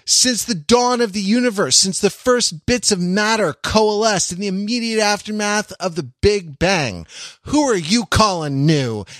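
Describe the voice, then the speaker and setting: A man's voice: high-pitched, unhurried, fairly bright tone, no room sound, clean studio sound, pitched above the performer's own voice. A man voicing an affronted, theatrical geological phenomenon billions of years old, in a comic podcast bit. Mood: mock indignant. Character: indignant, billions-of-years-old geological phenomenon protesting being called new.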